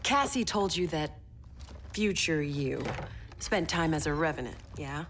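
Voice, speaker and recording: An actress playing a young woman soldier, speaking softly and steadily in a video game cutscene. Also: low voice, animated, unhurried, a little hiss or hum, exaggerated voice. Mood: earnest.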